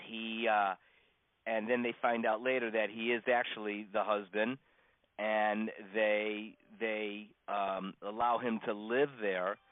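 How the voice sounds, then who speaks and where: A man teaching an informal religious class as a rabbi, unscripted, with halting delivery, many restarts and pauses. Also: lowish voice. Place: teaching over a conference phone call. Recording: dull, dry conference call line.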